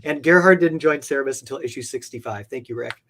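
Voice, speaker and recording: Male collector guest, mid-pitched, earnest and halting, casual conversational delivery that repeats itself for emphasis. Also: fairly bright tone. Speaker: guest, comic art collector. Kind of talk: casual interview chat.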